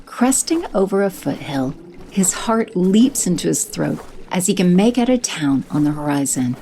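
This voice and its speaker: A woman giving steady scripted narration for an audio drama. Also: lowish voice, moderate pace, creaky, a little hiss or hum. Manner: steady, descriptive.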